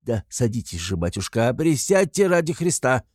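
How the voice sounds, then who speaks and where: A man reader puts on a cunning male investigator from a classic novel. He rambles and fusses, coaxing with obsequious, fatherly endearments that hide a sly intent. The pitch is medium and the sound is dry. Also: very animated, moderate pace, a little mellow, dry recording. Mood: fussy concern. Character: wily, fussy, cackling male police investigator, falsely fatherly.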